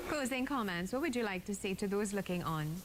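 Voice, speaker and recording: A woman's voice: lively intonation, fairly quick. A woman asking questions as the host of an interview. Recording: faint background rumble and noise.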